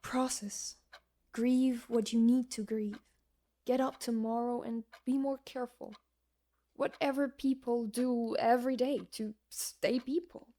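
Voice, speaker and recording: A woman playing a practical, caring guardian in an audio drama, plain-spoken and gently instructive. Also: on the high side, fairly even intonation, a little slow. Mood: gentle, practical.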